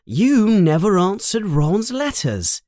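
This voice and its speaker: A man's voice: extremely high pitch, huge pitch swings, slow, fairly bright tone, husky, dry recording, studio quality, pitched above the performer's own voice, full character voice. A man voicing a stern, plump, motherly woman who scolds shrilly and turns sweet with guests. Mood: scolding.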